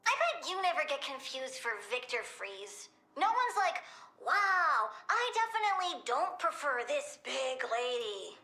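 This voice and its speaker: A young plant-girl villain from a video game, eager and bluntly impish. Her voice is very high and bouncy, with a bright, childlike edge in a roomy recording. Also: very animated, unhurried, few pauses, exaggerated voice. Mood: prodding. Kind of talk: animated video game voice acting.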